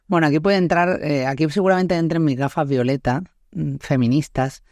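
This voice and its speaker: A woman's voice: low-pitched, moderate pace, a little mellow, dry recording. A woman in her thirties with an Andalusian accent from Málaga, hosting a podcast in a chatty, laughing way full of tag questions. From Andalusia, Spain. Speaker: podcast host. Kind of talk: casual chat.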